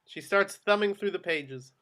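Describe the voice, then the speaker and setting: A man's voice: high-pitched, normal speed, pushed and bright. A man narrating action descriptions from a script at a table read. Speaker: stage-direction narrator.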